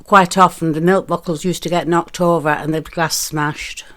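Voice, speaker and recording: A woman's voice: low voice, steady tone, moderate pace, fairly bright tone. A woman in her eighties, a retired nurse, answering interview questions in a hesitant, reminiscing way. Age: 80s.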